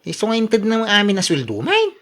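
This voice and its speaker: A woman's voice: mid-range voice, huge pitch swings, brisk. A woman with an Ilocano accent acting as an exasperated, protesting spouse in a radio drama, querulous and exclamatory. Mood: defensive.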